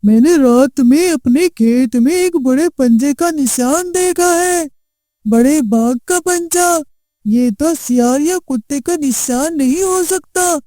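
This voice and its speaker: A woman voicing a wise, cautious old village grandfather in a cartoon moral story, with a measured, advising manner. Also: high voice, mellow, dry recording. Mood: grave. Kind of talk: Hindi animated moral story acting.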